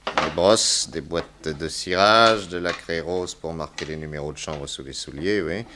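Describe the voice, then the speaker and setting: A very deep male voice, that of a shrewd private detective in a 1960s radio serial. He is self-assured and wry, probing each point methodically. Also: a little slow, pushed and bright, slight background noise. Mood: confident, methodical. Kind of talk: 1960s French radio crime drama acting.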